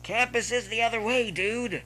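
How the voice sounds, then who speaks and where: Man playing a sarcastic young pony, raised pitch. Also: normal speed, bright, forceful tone, a little hiss or hum. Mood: calling, concerned. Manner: sarcastic, teasing. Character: sarcastic, amused young male pony, concerned friend.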